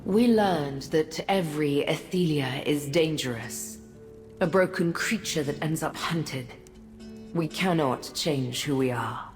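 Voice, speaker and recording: An actress playing a melancholy, regal female prince of paths in a fantasy game, speaking in a solemn, formal, measured manner. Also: low voice. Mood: wistful. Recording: long reverb over soft music.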